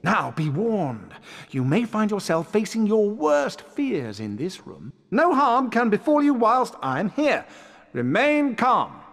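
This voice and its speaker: An actor playing a vain, showy British wizard professor in a video game, pompous and self-satisfied. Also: male voice, sits high, very animated, slow, a bit bright, long reverb tail, full character voice. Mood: pompous, reassuring. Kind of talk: video game character acting.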